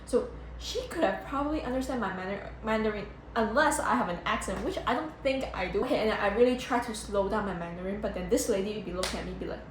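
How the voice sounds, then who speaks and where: A young woman vlogging casually as she tours a budget hotel, chatty and excitable, full of "like"s and giggles, filmed on a handheld phone. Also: mid-range voice, fast. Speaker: travel vlogger. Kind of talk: casual travel vlog. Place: budget hotel room and entrance. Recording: echoey room with loud background noise.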